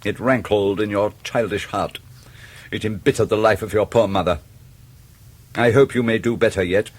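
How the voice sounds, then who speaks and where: An actor playing a stern, menacing middle-aged Victorian gentleman in a radio drama, with an English accent and a formal, measured, disdainful manner. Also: on the low side, fairly even intonation, natural voice.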